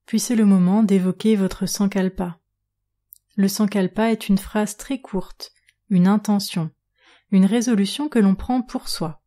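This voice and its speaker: A woman yoga nidra instructor guiding a relaxation meditation in a calm, measured manner with long pauses. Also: mid-range voice. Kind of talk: guided relaxation meditation narration.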